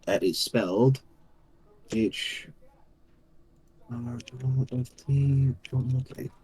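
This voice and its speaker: A man running a tabletop game as game master over a voice call, relaxed and joking. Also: mid-range voice, very slow, a little hiss or hum, rough recording. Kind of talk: casual tabletop game session.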